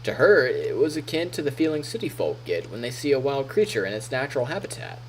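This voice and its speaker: Male streamer-narrator, medium pitch, very expressive read-aloud of visual novel prose, soft music behind. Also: fast, a little hiss or hum, rough recording. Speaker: live streamer.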